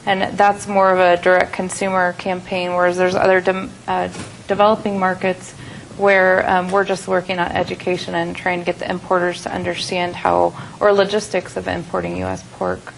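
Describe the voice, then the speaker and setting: An American woman gives a businesslike presentation at a trade expo. Her delivery stays quite flat in pitch and she pauses often on fillers. Faint background noise sits behind her. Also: vocal fry, low-quality recording. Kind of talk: conference presentation. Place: conference hall at a trade expo.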